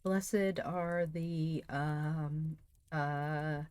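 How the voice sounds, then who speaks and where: A woman voicing a flustered schoolboy in a storybook, halting and stammering as he fumbles through his recitation. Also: deep voice, steady tone, darkish tone, clean tone, no room sound. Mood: halting, confused. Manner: halting, stammering, groping for words. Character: boy fumbling through memorized Bible verses, flustered, petulant. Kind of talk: dramatized storybook reading.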